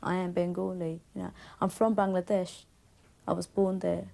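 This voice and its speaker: A woman's voice: on the low side, flat delivery, normal speed, gravelly, warm tone. A young woman with a Cockney accent, talking casually in an interview and filling her speech with "you know" and "like.". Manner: chatty, many fillers like "you know" and "like". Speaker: band member interviewee.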